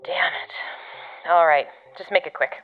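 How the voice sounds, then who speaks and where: Jaded female operative, low voice, dry sarcasm, radio-filtered, music underneath, podcast drama. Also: slow, in a whisper, phone-quality sound. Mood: impatient. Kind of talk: audio fiction podcast acting.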